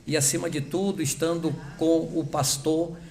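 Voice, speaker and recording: A man's voice: fairly high pitch, little pitch movement, darkish tone. A man serving as host pastor, reading scripture and praying in church with an earnest, emphatic delivery. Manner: earnest, emphatic, slowing on key words.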